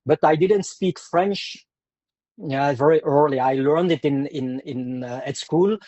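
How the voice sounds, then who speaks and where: Flemish-accented man, soft husky voice. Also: fairly high pitch, measured pace, no echo. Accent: Flemish Belgian. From Belgium. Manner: hesitant, many fillers and restarts. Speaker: martial arts instructor, guest. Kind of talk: conversational interview.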